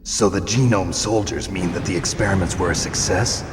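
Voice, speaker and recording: An actor playing a gruff government agent in a video game, terse, intense and skeptical, with a gravelly voice. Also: male voice, deep voice, normal speed, background noise, close to an everyday voice. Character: gruff male government agent, hardened action hero, skeptical. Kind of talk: dramatic video game voice acting. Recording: long hall reverb. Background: game music.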